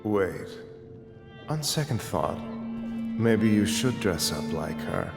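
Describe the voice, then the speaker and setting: Game role: drunken, sneering, lecherous lord, gravelly voice, roomy echo. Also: male voice, on the low side, expressive, slow pace, loud music behind the voice, heavily acted. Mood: lecherous musing. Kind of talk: video game cutscene acting.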